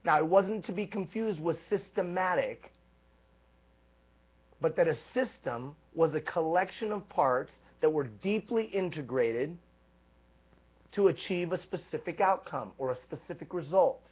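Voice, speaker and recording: A man giving a live leadership coaching talk, energetic and emphatic, stacking repeated phrases. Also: a little high, unhurried, husky. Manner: energetic, emphatic, repetitive build-ups. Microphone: live stream microphone. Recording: tinny phone-like live stream.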